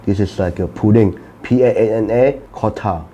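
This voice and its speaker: A man in his thirties from Malaysia with a Malaysian English accent, chatting to camera in a casual product review, animated and full of "okay?" and "lah". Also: fairly low pitch, moderate pace. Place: studio table with backdrop.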